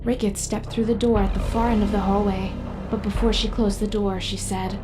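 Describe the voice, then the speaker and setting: A woman's voice: mid-range voice, flat delivery, normal speed, over music. A woman narrating a scripted audio drama as its storyteller.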